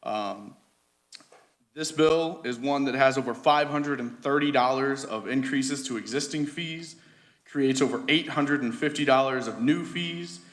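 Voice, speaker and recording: A man's voice: medium pitch, unhurried, fairly bright tone. A man giving prepared testimony to a legislative committee, reading formally with a few stumbles and restarts. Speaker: policy advocate testifying.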